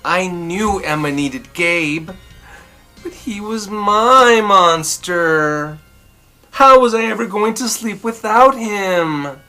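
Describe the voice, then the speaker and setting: A woman narrates a children's story aloud. Her voice is bright and mid-pitched, with slow, deliberate, dramatic phrasing. Soft music plays underneath. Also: expressive. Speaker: storybook reader.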